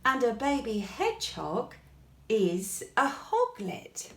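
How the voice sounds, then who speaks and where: A woman's voice: fairly high pitch, wildly swooping pitch, slow, pitched above the performer's own voice, heavily acted. A woman voicing a friendly, curious, childlike male dog in a children's bedtime story, inquisitive and pleased with discoveries. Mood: curious, delighted. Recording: echoey room.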